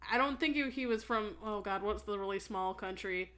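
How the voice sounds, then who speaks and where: A woman's voice: medium pitch, moderate pace, fairly bright tone, clear, resonant tone. A young woman co-hosting a podcast in casual chat, blunt and emphatic, with plenty of swearing. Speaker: podcast co-host.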